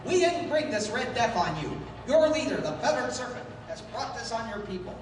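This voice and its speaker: A man acting a calm, resolute, commanding adventurer doctor in the style of a 1930s pulp radio drama. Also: very high-pitched, normal speed, background noise. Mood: firm, reassuring. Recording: very echoey distant hall with music.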